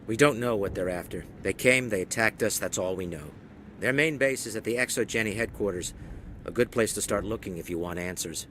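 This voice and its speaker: An actor playing an earnest, courteous leader of an embattled colony in a sci-fi video game. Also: male voice, a little fast, a bit bright, natural voice. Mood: earnest, weary. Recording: noisy rumbling ambience behind voice.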